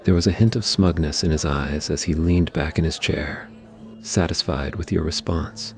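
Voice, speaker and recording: A man's voice: extremely low pitch, moderate pace, gravelly, soft background music. A man narrating a scripted romantic ASMR story.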